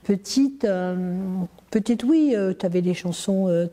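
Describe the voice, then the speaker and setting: A woman's voice: mid-range voice, slow pace, warm tone, big-room sound. A woman in her fifties talking casually in an interview, hesitant and trailing off with fillers. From Portugal. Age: 50s. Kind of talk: casual conversation.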